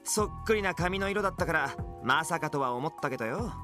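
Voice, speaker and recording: A man's voice: on the high side, lively intonation, brisk, close to an everyday voice. A man playing a breezy, sly young intelligence agent in a game, flippant and smug. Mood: amused. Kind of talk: Japanese game voice acting. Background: game music.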